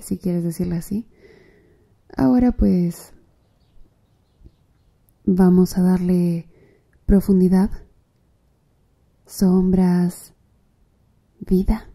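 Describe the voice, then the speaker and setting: Woman playing a slow, husky, flirty tattoo artist. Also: lowish voice, warm tone, full character voice. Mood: playful, teasing. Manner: slow, breathy, seductive murmuring to the listener. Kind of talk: Spanish-language ASMR roleplay.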